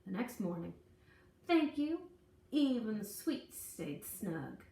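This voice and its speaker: A woman reading a children's picture book aloud in an expressive, folksy, dramatic storytelling manner. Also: medium pitch, slow pace, creaky. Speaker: storybook reader. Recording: echoey room, distant mic, faint noise.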